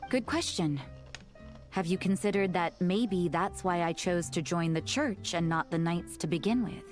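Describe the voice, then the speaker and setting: An actress playing a worldly, wry, composed church sister in a video game, with a knowing, measured delivery. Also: female voice, lowish voice, a little mellow, faint music behind, close to an everyday voice. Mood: cool.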